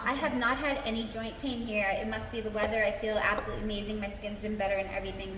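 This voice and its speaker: An American woman in a casual food vlog, rambling through what she made with plenty of fillers and slow, listed items, speaking from a kitchen. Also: mid-range voice, fairly bright tone, reverberant, long reverb tail, distant mic, slight background noise, poor audio. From United States. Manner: rambling, many fillers, lists items slowly. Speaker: health vlogger. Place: home kitchen abroad, no air conditioning.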